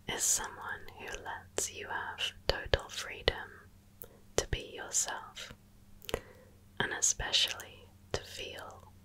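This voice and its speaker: A woman speaking in a soft, breathy whisper with a slow, sleepy, soothing delivery, in the style of an ASMR meditation reading. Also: extremely high pitch, poor audio. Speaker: ASMR channel host.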